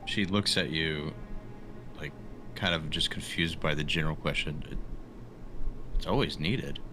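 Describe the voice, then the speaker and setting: A man's voice: a bass voice, measured pace, over music. A man in a tabletop game, casually narrating as a player.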